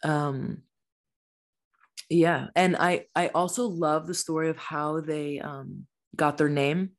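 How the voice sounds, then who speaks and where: A woman's voice: sits low, steady tone, slow pace. A woman hosting a podcast, chatting casually and thanking her guests. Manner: friendly, wraps up with repeated thanks. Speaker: podcast host. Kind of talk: casual interview chat.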